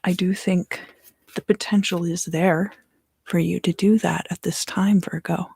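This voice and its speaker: A woman giving an ASMR tarot reading in a soft, unhurried whisper. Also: lowish voice, fairly even intonation, vocal fry, slightly rough, no room sound, clean studio sound. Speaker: tarot reader and ASMR host.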